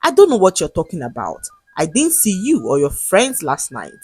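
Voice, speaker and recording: A haughty, rich young woman, acted by a female storyteller, brushes people off with curt impatience in a folktale. Her voice is husky and lively in pitch. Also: normal speed, soft background music, full character voice. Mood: dismissive. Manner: curt, dismissive. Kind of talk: dramatic storytelling dialogue.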